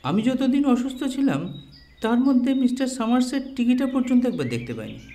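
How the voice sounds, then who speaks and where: A dazed nobleman just back on his feet, sounding surprised and distracted. His voice is mellow and swings widely, and it sounds a little far from the mic. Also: extremely high pitch, sing-song, brisk, a little hiss or hum, exaggerated voice. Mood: puzzled. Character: recovering nobleman, bewildered and weary. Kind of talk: audio drama acting.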